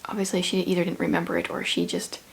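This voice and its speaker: A woman from the Canadian prairies giving a rambling, casual vlog update, her voice hushed and breathy at times. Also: lowish voice, sing-song, fast talker, whispered. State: sore throat, losing her voice. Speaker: vlogger. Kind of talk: casual chat.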